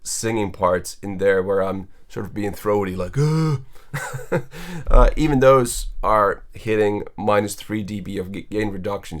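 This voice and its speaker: A young man with a low voice, casually explaining how he mixed his own vocals, rambling with fillers. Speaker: singer and mixing engineer. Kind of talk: walkthrough of a vocal mix.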